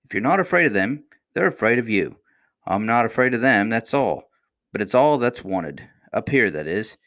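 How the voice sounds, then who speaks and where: A man's voice: on the low side, big pitch swings, normal speed, a bit bright, pitched above the performer's own voice. A man voicing a cheerful, fearless twelve-year-old miner boy in a storybook, plain-spoken and self-assured. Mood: matter-of-fact, bold.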